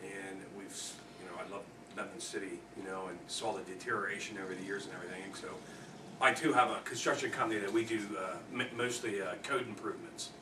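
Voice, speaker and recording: Low-voiced American man, distant and echoey. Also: fairly even intonation, fast talker. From Pennsylvania, United States. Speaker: construction company owner presenting a proposal. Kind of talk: informal explanation at a public meeting. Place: indoor public meeting room. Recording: distant, echoey, noisy meeting room recording.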